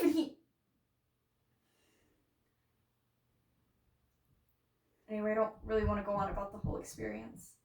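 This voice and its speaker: A woman's voice: high voice, close to an everyday voice. Woman acting bitter grieving woman in echoey room. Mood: wounded, bitter. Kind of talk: dramatic stage monologue. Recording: roomy echo, distant microphone.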